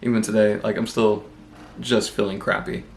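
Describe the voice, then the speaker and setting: A young man with a cold, congested, chatting casually and rambling in a relaxed, self-deprecating way as a book vlogger. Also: fairly low pitch, normal speed, a little hiss or hum. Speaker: booktube vlogger. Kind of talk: casual vlog chat.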